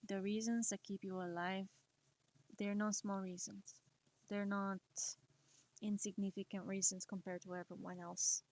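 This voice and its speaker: Woman streamer, slow and soft-spoken, mid-range voice, encouraging chat. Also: medium pitch, level tone. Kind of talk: casual chat while drawing on stream.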